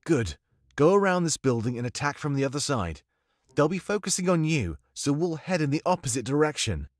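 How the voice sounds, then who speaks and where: A man's voice: a little high, sing-song, a little fast, no room sound, studio quality, voice pushed up. A man voicing a resolute, earnest male rebel leader in a furry visual novel, speaking with firm, rallying delivery. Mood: commanding.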